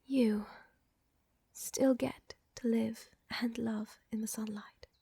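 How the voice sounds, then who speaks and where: A woman plays a refined vampire countess, gently dominant and devoted to her lover. Her voice sits a little high, soft and very breathy, often slipping into a whisper. She takes her time with every phrase, close to the microphone in an ASMR roleplay. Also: steady tone, slow, no echo, exaggerated voice. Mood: wistful, earnest. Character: tender, seductive female vampire countess, protective lover. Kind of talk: intimate ASMR audio roleplay. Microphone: close, dry microphone.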